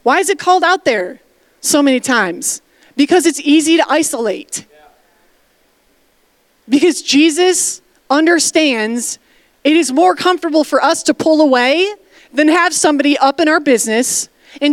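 A woman's voice: high voice, animated, normal speed, dry recording. Emphatic woman pastor preaching a sermon. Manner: emphatic, call-and-response preaching with asides. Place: church sanctuary.

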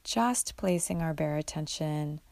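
A woman guiding a mindfulness meditation in a gentle, unhurried way, leaving long pauses between instructions. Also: deep voice, gravelly, no echo. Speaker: guided meditation leader.

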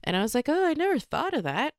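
A woman's voice: high voice, big pitch swings, quick pace, no echo, clean studio sound. A woman comedian from Sweden whose first language is Swedish, talking thoughtfully in a casual podcast interview, with fillers and restarts. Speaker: comedian guest.

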